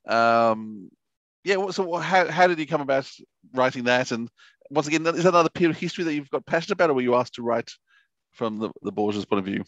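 A middle-aged man hosting a casual podcast interview, asking rambling, halting questions into a headset mic. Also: medium pitch, lively intonation, a bit bright, dry recording, dull audio.

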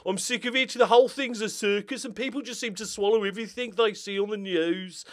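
A man's voice: high voice, steady tone, fairly quick, a bit bright, pitched above the performer's own voice. A man voicing an indignant online commenter in an overblown, theatrical dramatic reading. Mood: fed up.

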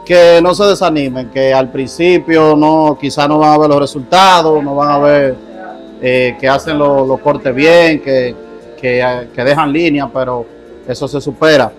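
Soft-spoken Dominican barber in his thirties. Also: on the high side, fairly even intonation, measured pace, soft background music. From Dominican Republic. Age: 30s. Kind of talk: interview answers. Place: small barbershop, indoors. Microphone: lapel mic.

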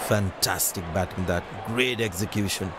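A man playing an excitable cricket commentator in a video game, calling the play in short scripted lines. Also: low voice, normal speed, lightly acted. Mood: admiring. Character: excitable male cricket commentator, full of praise. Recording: loud stadium crowd noise behind. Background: roaring cricket crowd.

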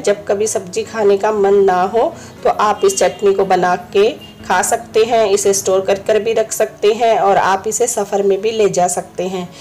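Slightly hoarse woman, mid-pitched, home cooking host, patient step-by-step delivery, faint music under. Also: a little mellow. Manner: instructive, friendly, repeats steps. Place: home kitchen.

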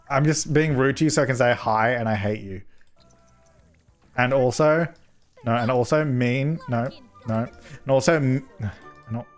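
Young guy w a bit of a cold, slightly hoarse, bouncy up-and-down voice, headset mic, chatting thru a life sim game. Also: medium pitch. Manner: chatty, jokey, scolds his game characters. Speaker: gaming YouTuber. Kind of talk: casual let's-play commentary.

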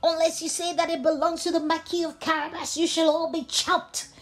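A woman's voice: very high-pitched, little pitch movement, brisk, faint music behind, pitched above the performer's own voice. A woman voicing a sly, scheming male talking cat who meows between his words. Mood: fierce. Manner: meowing between words, fierce threats.

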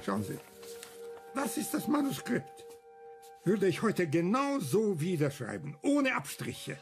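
An embittered older survivor in a radio crime play. His fairly high, creaky voice swings widely as he argues his principles emphatically, with faint music underneath. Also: big pitch swings, slow pace, exaggerated voice. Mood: indignant. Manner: emphatic, argumentative, rhetorical questions. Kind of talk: German radio crime drama acting.

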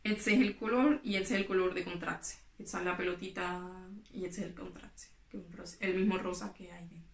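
A woman's voice: fairly low pitch, fairly even intonation, a little fast, vocal fry. Chatty young woman in an echoey room. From Spain. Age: young adult. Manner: chatty, explains while handling her knitting. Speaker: knitting vlogger. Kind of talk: casual chat to camera. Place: small room in front of yarn shelves. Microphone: camera in front, at table distance.

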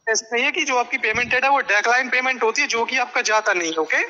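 High bright-voiced man pitching on a phone call. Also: fast talker. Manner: persuasive, smooth-talking salesman. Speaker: caller from a prediction service. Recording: muffled phone call recording with hiss.

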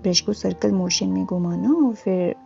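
Woman art tutor, gravelly and warm, calm step-by-step voice-over with faint music, slightly dull recording. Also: medium pitch. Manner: calm and instructive, with frequent pauses and fillers. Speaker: art teacher. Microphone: voice-over, camera on hands and canvas.

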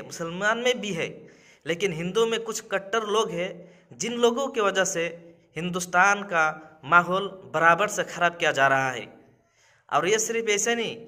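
Bright, high-pitched man giving commentary. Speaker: video commentator. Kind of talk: commentary to camera. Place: small indoor room with brick wall.

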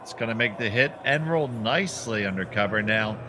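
A man's voice: on the low side, animated, brisk, a crowd in the background. A man with a Philadelphia accent calling a curling match as play-by-play commentator, bantering playfully with his partner. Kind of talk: sports commentary.

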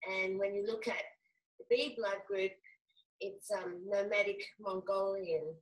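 A middle-aged woman whose first language is Hungarian speaks as a guest over a webcam call. Her voice is of middling pitch and sounds a bit far from the microphone in a small room. She rambles, filling pauses with ums and restarting her sentences. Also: fairly even intonation. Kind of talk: casual video-call interview. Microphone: video call webcam. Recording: distant webcam mic.

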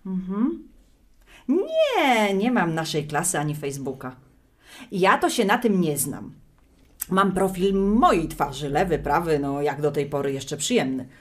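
A wry, chatty middle-aged widow acted by a woman in a radio play. She talks colloquially, like someone confiding in friends, and her pitch swings in an animated way. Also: unhurried. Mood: excited, surprised. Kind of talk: audio drama monologue and phone acting.

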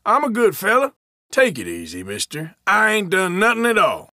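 Forceful, drawling Old West outlaw. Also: male voice, sits high, big pitch swings, normal speed, dry recording, clean studio sound, full character voice. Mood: pleading innocence. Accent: American Western. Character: suspicious, defiant Old West outlaw man. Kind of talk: video game character voice lines.

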